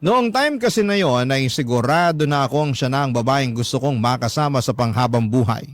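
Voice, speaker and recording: A man's voice: mid-range voice, animated, a little fast, dry recording, clean studio sound. A man with a Filipino accent narrating a scripted first-person story for a radio drama.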